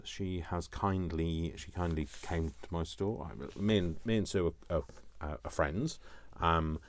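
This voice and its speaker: Deep-voiced man rambling through a craft tutorial. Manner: rambling, self-deprecating, jokey asides. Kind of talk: casual, chatty craft demonstration. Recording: noticeable background noise, small clicks.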